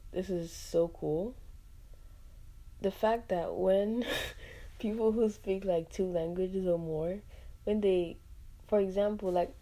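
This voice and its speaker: A young woman from Nigeria, hosting a casual solo podcast, chatty and animated, with frequent laughs and fillers. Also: lowish voice, low-quality recording. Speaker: podcast host.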